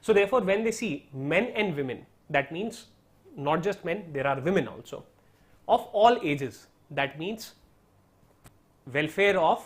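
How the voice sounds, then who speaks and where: Indian English male teacher, Hindi native, slightly high voice, patient step-by-step explainer. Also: lively intonation, unhurried. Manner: explanatory, repeats "right?" and "so therefore", mixes in Hindi. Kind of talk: online lesson on study strategy.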